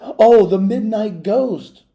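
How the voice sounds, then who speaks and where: Male reader as a young storybook girl, excitable and insistent, with a mellow tone. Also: high voice, slow, voice pushed up. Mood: alarmed, insistent.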